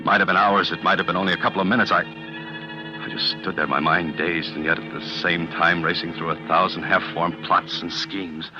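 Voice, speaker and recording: Man acting troubled young guy accused of murder, low voice, hesitant, muffled old radio show w music. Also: fairly even intonation, normal speed, lightly acted. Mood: dazed, haunted. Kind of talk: 1940s radio drama acting.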